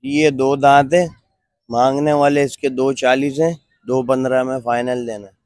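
A man's voice: medium pitch, little pitch movement, moderate pace, a little mellow, no room sound, clean studio sound. A man from Pakistan selling cattle at an outdoor market, quoting prices in short, firm answers. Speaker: cattle seller.

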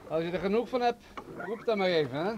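An actor playing a menacing, wealthy crime boss in a radio play, coolly sadistic, condescending and mocking. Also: male voice, high voice, animated, quick pace, muffled recording, background noise. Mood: mocking, gloating. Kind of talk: vintage Dutch radio play acting.

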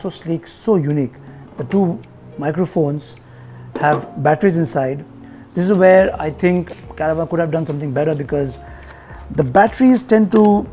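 A man's voice: on the high side, mellow. A man in his forties with an Indian accent, giving a casual, conversational product review to viewers. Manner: conversational, addressing viewers directly. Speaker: tech reviewer presenting an unboxing. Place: indoor showroom-like room.

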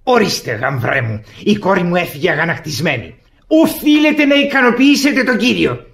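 A proud old baron holds forth in a high voice. He is pompous and formal, declaiming every phrase like a father-in-law in classic radio theatre. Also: big pitch swings, gravelly, fairly bright tone, exaggerated voice. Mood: stern, admonishing.

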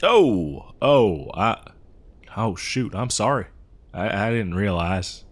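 A man playing a dim, good-natured cowboy mail rider in a comedy roleplay, rambling hesitantly in an American cowboy drawl. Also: on the low side. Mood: apologetic, surprised. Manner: rambling, hesitant, folksy, slow-witted. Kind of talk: improvised comedy audio roleplay.

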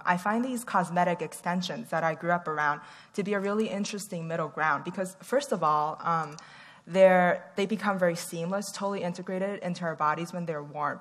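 A young woman from Taiwan giving a conference talk on stage, earnest and full of "um" fillers. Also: lowish voice. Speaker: conference speaker. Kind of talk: stage talk presenting research. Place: large hall on stage. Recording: long hall reverb.

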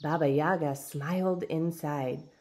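A woman reading a storybook aloud in expressive, deliberate, dramatic narration. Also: deep voice. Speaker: storybook reader. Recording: background noise with birdsong.

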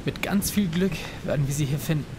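A man's voice: on the high side, a little fast, heavy background noise, close to an everyday voice. A young man playing a student searching a ghost town for missing friends, talking tensely and urgently. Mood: uneasy. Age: young adult. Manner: tense, urgent, shouts in danger. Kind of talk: fan audio drama acting. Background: loud horror music.

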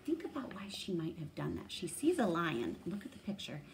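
A woman in her thirties reading a children's story aloud and pausing to explain words, thinking aloud like a teacher. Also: lowish voice, sing-song, creaky, faint music behind. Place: small room at home, indoors.

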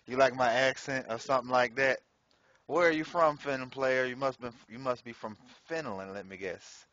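A man's voice: mid-range voice, normal speed, a bit bright, no room sound, dull audio. A man casually commenting on his gameplay in a slangy, profane way, laughing often. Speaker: gameplay streamer.

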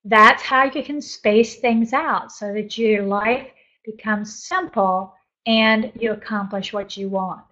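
A woman's voice: medium pitch, takes it slowly, bright, forceful tone, lo-fi sound. A woman in her sixties coaching a group on a live webinar, upbeat and encouraging as she reads chat messages aloud and responds. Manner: upbeat, encouraging, laughs often. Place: home office with bookshelves.

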